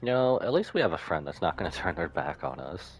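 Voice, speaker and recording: A man's voice: sits low, sing-song, fairly quick, soft background music. A man doing casual live game commentary as a streamer.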